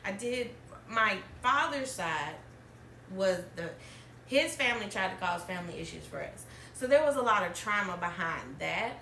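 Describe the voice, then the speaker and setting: Woman in her thirties, bright and chatty, recorded in a roomy space off-mic. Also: medium pitch, animated, normal speed, far from the mic, a little hiss or hum. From Alabama, US. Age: 30s. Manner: chatty, laughs often, trailing restarts. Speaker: podcast host. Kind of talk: candid storytelling chat.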